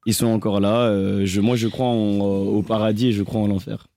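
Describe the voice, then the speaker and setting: A young man from France, a singer, answering interview questions in a hesitant, halting way with many restarts. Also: on the low side, level tone, mellow, no echo, studio quality.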